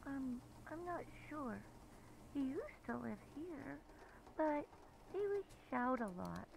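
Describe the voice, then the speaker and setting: A woman's voice: sits high, very animated, slow pace, creaky, heavily acted. A woman voicing a timid, worried little girl on the phone in a horror game. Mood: timid, confused. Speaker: child caller character. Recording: faintly noisy phone call.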